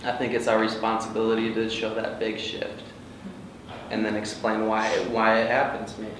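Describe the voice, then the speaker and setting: A man with a flat, mid-pitched delivery speaks earnestly to a group. The recording is distant and heavily reverberant. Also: normal speed. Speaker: actor at a table read. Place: indoor room with a group. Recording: very echoey room, distant, noisy.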